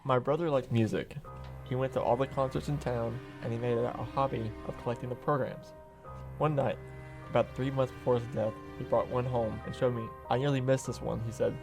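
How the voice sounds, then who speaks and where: A man acting a grave, mid-pitched bereaved brother. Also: steady tone, fairly quick, natural voice. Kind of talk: radio drama acting. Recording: faint music under the voice.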